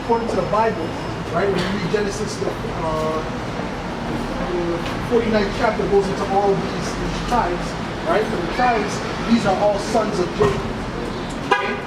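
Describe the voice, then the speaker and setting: A man's voice: high voice, moderate pace. A man preaching informally on the street, explaining scripture insistently and often checking for agreement. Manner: insistent, repeatedly seeking agreement with tags like right and okay. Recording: echoey, very noisy outdoor street recording.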